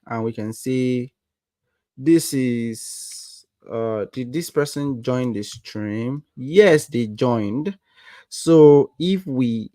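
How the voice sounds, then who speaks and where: A young Nigerian man with a Nigerian accent leads a live coding lesson, working through each step slowly and at an ordinary pitch. He keeps stopping to ask "what?" as a rhetorical question. The sound is dry and close, with no room echo. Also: darkish tone. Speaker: coding livestream instructor. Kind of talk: live coding tutorial with chat.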